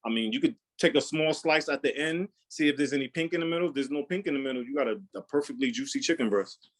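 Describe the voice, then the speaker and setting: Brief: young male chef, loud voice, rambling, talks casually, mid pitch. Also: fast talker. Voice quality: loud, carrying voice. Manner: rambling, repeats words, many fillers. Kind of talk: casual live cooking demo Q&A.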